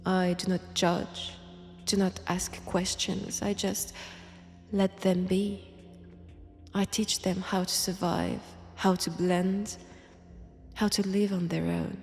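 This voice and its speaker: A woman playing a mad vampire in an intimate audio roleplay, with a French accent, seductive, menacing and mockingly tender, speaking in halting short phrases. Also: medium pitch, flat delivery, soft background music. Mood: ominous, measured. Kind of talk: slow intimate roleplay monologue.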